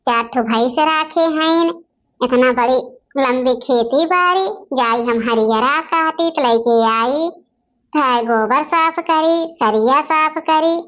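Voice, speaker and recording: A woman voicing grumbling, gossipy village sisters-in-law in a cartoon, speaking with an Awadhi accent and a drawn-out delivery. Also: sits high, a bit bright. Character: scheming, complaining village women, sisters-in-law in family squabble. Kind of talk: cartoon dialogue in rural dialect.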